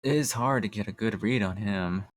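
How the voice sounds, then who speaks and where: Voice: low voice, normal speed, dry recording, studio quality, lightly acted. A voice actor playing an earnest, principled young rookie Pokemon explorer in a comic dub, hesitant but ready to protest. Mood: thoughtful, hesitant. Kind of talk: animated comic dub acting.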